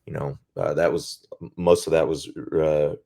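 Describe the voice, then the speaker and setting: A male singer with a naturally very deep voice, talking casually and reflectively in an interview, often pausing to find his words. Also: flat delivery, vocal fry, mellow. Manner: reflective, searching for words with many pauses. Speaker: band singer as guest.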